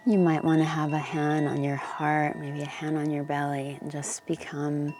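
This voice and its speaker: Low-voiced woman guiding a meditation. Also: level tone. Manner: unhurried, informal, pausing between phrases. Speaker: meditation guide. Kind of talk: guided meditation, gentle instruction. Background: wind chimes and ambient noise.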